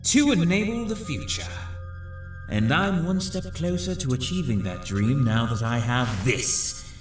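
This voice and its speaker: A man's voice: medium pitch, lively intonation, unhurried, reverberant, faint music behind, natural voice. A man playing a proud, scheming villain scientist with pompous, theatrical speech in a cartoon comic dub. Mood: scheming, determined. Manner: pompous, elaborate articulate speech.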